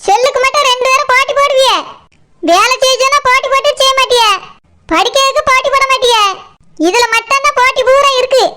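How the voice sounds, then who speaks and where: A performer voicing a scolding, sarcastic village woman in a comedy cartoon, with a Kanyakumari Tamil accent and an artificially squeaky voice. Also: fairly even intonation, fast talker. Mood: exasperated. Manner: sarcastic, nagging, scornful. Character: scolding, sarcastic village woman, sharp-tongued elder sister and mother. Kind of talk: comedy cartoon dialogue in colloquial dialect. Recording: very noisy track with clicks.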